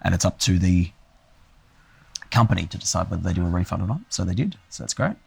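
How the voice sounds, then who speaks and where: A man with a croaky, hoarse voice from a cold, chatting casually with dry, wry humor. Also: deep voice, lively intonation, quick pace. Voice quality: croaky, gravelly voice. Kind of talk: casual chat. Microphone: held too far from the mic.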